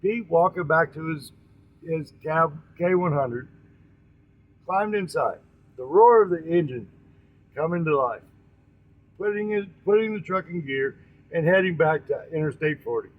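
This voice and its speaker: Folksy guy telling a story, kinda high voice, stumbles n restarts words, dull lo-fi audio. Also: lively intonation. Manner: halting, often repeats and corrects words; folksy, addresses listeners directly. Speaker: storytelling host. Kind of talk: informal oral storytelling.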